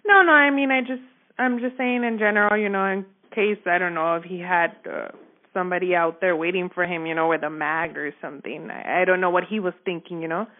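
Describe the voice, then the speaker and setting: A woman's voice: lowish voice, steady tone, brisk. A woman giving a casual, informal account in a phone interview, often checking that she is understood. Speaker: store manager giving a witness account. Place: telephone call. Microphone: phone line. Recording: narrow muffled phone-line audio.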